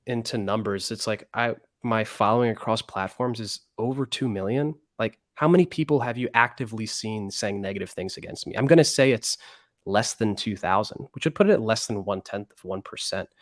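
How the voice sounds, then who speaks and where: Low-pitched male interview guest, rambling and filler-heavy, dry close sound. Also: fairly quick, no room sound. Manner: rambling, many fillers and restarts. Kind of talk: casual conversational interview.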